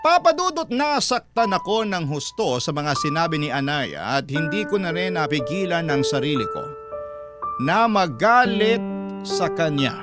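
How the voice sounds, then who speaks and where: A man's voice: fairly high pitch, very animated, moderate pace. A man with a Filipino accent reading a first-person letter dramatically as a radio storytelling host. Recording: loud music under the voice.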